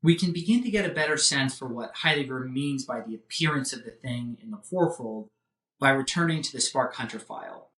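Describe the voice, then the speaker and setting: A man delivers an academic lecture in a measured, formal way. His mid-pitched voice sits back from the microphone in a noticeably roomy space. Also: animated, fairly bright tone. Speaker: professor lecturing. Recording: roomy echo, distant microphone.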